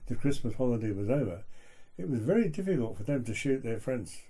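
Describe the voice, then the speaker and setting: A man's voice: mid-range voice, big pitch swings, fairly quick, warm tone, husky. A man conducting a local radio interview in a reflective, hesitant way.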